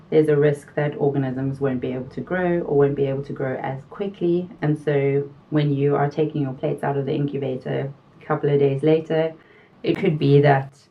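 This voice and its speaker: A middle-aged woman with a South African accent, explaining technical quality topics in a measured way, pausing now and then with fillers. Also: low-pitched. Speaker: quality consultant guest. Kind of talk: explanatory interview answers. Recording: distant mic, dull sound.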